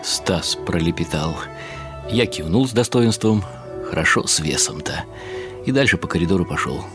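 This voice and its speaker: An actor playing a wry, reflective elderly husband who narrates in the first person in short clipped phrases for a radio drama. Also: male voice, low-pitched, animated, normal speed, music in the background.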